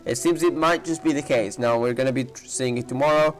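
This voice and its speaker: A male gaming streamer talks casually over a playthrough. He rambles as he thinks aloud, in a mid-pitched voice. Light game music runs behind him. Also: fairly quick.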